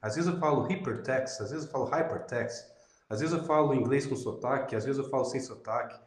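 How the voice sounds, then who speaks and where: A Brazilian man with a Minas Gerais accent teaching web programming in a relaxed lecture, often tagging sentences with "né?". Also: medium pitch, fairly quick, reverberant. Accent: Minas Gerais Portuguese. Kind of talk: recorded lecture over slides.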